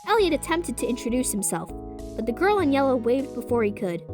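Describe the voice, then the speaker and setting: A woman narrates a scripted audio drama. Her voice is high and animated, recorded dry with music in the mix. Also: fairly quick.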